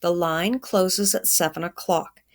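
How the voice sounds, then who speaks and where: A woman's voice: on the low side, steady tone, unhurried, lightly acted. A woman voicing a stern, threatening man who guards a food line in a children's storybook, scolding in folksy, ungrammatical speech. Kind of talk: children's storybook read-aloud.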